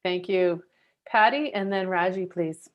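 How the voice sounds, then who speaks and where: Woman briskly hosting a video call. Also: lowish voice, muffled recording. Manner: brisk, calls on people by name. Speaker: host. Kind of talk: facilitating an online group session.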